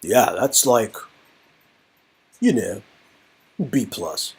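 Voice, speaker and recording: A man's voice: on the low side, expressive, drawn-out words, husky, full character voice. A man voicing a laid-back, goofy, affectionate young hero in a game dialogue reading, casual and jokey. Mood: offhand.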